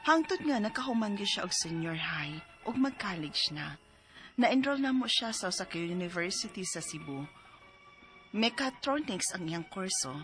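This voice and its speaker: An anxious middle-aged Cebuano mother played by a woman in a radio drama. Her speech swings widely in pitch as she fusses and exclaims. Soft music plays underneath. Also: lively intonation, normal speed, lightly acted. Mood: earnest narrating. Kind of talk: radio drama acting and letter narration.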